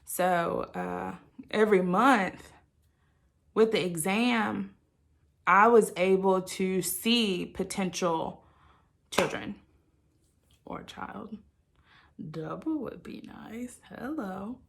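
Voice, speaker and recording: A woman sharing a personal story with listeners in a candid, halting, reflective way, often qualifying what she says and voicing her own thoughts expressively. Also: mid-range voice, gravelly. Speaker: host of a personal channel.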